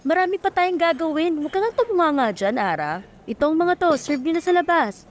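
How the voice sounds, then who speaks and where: A woman playing a bossy, gossipy young co-worker in a radio serial, with a brisk, chatty manner. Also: very high-pitched, sing-song. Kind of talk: radio serial drama acting. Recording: clear background noise and ambience.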